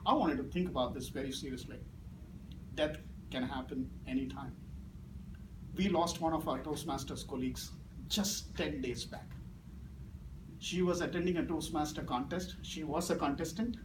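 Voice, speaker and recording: A man's voice: fairly high pitch, normal speed, pauses often. A middle-aged man with an Indian English accent, a native Malayalam speaker, giving a speech as guest speaker at a club meeting and telling stories that swing from grave to playful. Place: meeting room with audience. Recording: echoey room from far off, noisy.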